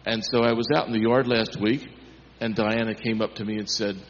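A man with a deep voice telling a church testimony in a folksy way that builds into preacher-like emphasis. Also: flat delivery, brisk. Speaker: congregation member. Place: church sanctuary. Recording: echoey church hall, dull sound.

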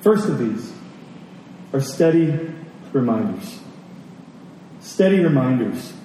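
A man preaching a sermon as a pastor, repeating key phrases deliberately for emphasis and posing rhetorical questions. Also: medium pitch, big pitch swings, warm tone. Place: large reverberant room, church service. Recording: very echoey hall, distant mic, faint noise.

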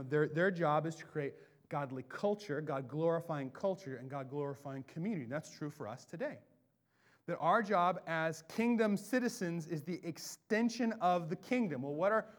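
An American man from the Pacific Northwest preaching a sermon, loud and animated, dropping into quiet asides before rising to booming emphasis. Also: moderate pace. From Bellingham, Washington, USA. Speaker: pastor. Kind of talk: expository sermon.

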